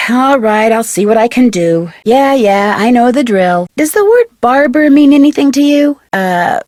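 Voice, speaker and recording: A woman's voice: fairly high pitch, big pitch swings, unhurried, darkish tone, dry recording. A woman voicing a young, friendly and persistent amateur detective in an adventure video game, speaking earnestly and politely. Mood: playful, wary, then playful coaxing. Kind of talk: scripted adventure game dialogue.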